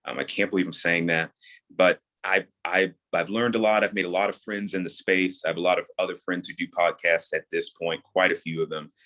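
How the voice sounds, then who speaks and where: Deep-voiced man explaining in an interview. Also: pushed and bright. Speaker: guest, audio drama creator and voice actor. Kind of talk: reflective podcast interview talk.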